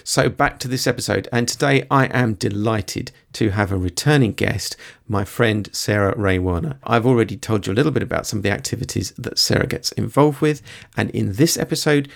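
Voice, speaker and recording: A man hosting a podcast interview, speaking in a friendly, measured way with some hesitant restarts. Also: on the low side, creaky. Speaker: podcast host.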